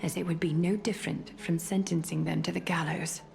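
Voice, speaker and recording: A composed female strategist of a fantasy game. Her voice is slightly low and carries measured authority. She phrases everything formally, with some music underneath. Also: steady tone, gravelly, a little mellow. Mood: solemn. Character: composed, ancient strategist leader of chosen heroes. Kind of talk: scripted game voice acting.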